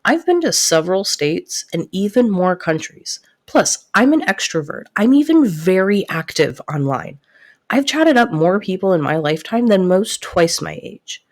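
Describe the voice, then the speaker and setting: A woman playing a devoted, protective best friend in an intimate audio roleplay, soft-spoken and earnest, easily flustered. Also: mid-range voice, very animated. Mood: insistent, sincere. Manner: earnest, reassuring, halting when flustered.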